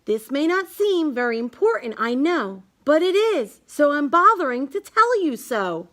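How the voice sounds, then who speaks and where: A woman reading a rhyming children's picture book aloud in a measured, singsong way. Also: sits high, very animated, a bit bright. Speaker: storybook reader.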